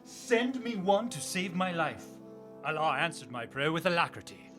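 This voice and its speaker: An actor playing a boastful, adventurous sailor captain in a theatrical radio drama, speaking in a grandiose, declamatory way. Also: male voice, on the high side, sing-song, moderate pace, music in the background. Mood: pious, solemn.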